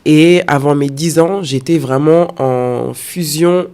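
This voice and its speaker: A woman's voice: low voice, slow, a little mellow. A woman in her thirties from France, talking about her life as an interview guest, with many fillers and repeated phrases. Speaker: guest, content creator. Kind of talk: personal interview conversation.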